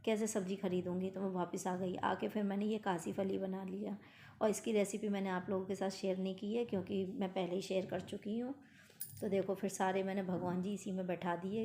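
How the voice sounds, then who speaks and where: A middle-aged woman with a hoarse, mellow voice narrates her day in a casual, homely way, speaking straight to her viewers. Her pitch stays flat and even. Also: a little hiss or hum. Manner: chatty, homely, talks to viewers directly. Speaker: vlogger. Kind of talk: casual daily-routine narration.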